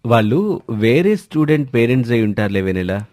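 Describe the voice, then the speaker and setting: A man's voice: mid-range voice, sing-song, a little fast, darkish tone, no room sound, studio quality, natural voice. A man voicing a secretive young husband and father who talks in a reassuring, persuasive way in a story. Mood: placating.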